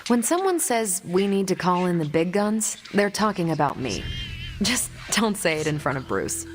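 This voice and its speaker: American game superheroine, fairly low pitch, casual, wry, self-assured, soft music behind. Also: female voice, big pitch swings, normal speed. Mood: proud, playful. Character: confident, easygoing superhero woman, ex-Air Force pilot. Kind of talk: video game dialogue acting.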